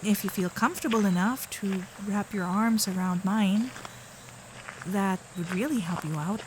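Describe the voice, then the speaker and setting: A woman's voice: mid-range voice, background noise, close to an everyday voice. A woman voicing a sweet, shy, protective kitsune girlfriend in an ASMR roleplay, speaking in a hesitant, caring way. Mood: gentle, caring. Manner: hesitant, flustered, caring, softly halting.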